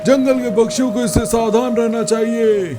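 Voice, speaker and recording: A sharp-tongued little busybody bird from a cartoon, done by a man. The voice is pitched extremely high. The delivery is sardonic and knowing, with music behind it. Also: steady tone, moderate pace. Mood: suspicious. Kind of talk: Hindi children's cartoon dubbing.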